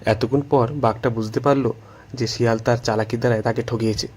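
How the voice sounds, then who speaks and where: A man narrating a scripted children's story in an unhurried storytelling manner. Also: mid-range voice, fairly even intonation, husky.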